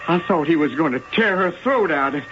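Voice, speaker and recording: An actor playing an anxious, earnest, flustered man with a stammering, excitable delivery in an old-time radio drama. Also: fairly high pitch, a little fast, over music, close to an everyday voice. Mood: shaken, apologetic. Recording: dull noisy old radio recording.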